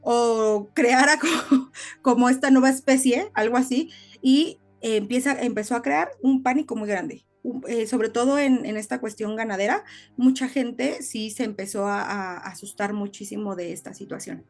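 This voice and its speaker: A woman's voice: on the high side, moderate pace, a little mellow, faint music behind. A woman co-hosting a casual podcast, explaining things like a storyteller with frequent little tag questions. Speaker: podcast co-host.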